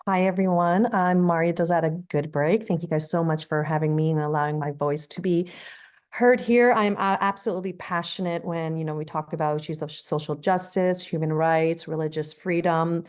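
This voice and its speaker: A woman with a lowish voice gives an earnest, impassioned introduction on a video call. She speaks as a non-profit leader, steady and heartfelt. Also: moderate pace, clean tone. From Afghanistan. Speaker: non-profit executive director. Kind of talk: panel introduction, personal story.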